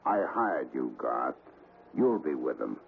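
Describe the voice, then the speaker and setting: An actor playing a nervous, reluctant house owner in a 1970s radio drama, hesitant and expressive in delivery. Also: male voice, very deep voice, slow pace, vocal fry, exaggerated voice. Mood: wary. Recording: tinny, noisy vintage radio recording.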